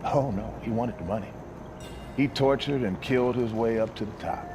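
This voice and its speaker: An actor playing a seasoned, weary soldier in a video game, speaking in a wry, matter-of-fact way. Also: male voice, mid-range voice, normal speed, close to an everyday voice. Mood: grim, matter-of-fact. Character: seasoned, weary male soldier telling grim past missions. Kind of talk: video game cutscene acting. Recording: loud vehicle and outdoor noise behind.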